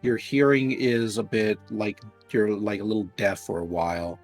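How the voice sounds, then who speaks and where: Game master, male, lowish voice, relaxed reassuring chat, faint music underneath. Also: normal speed. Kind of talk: casual chat with players.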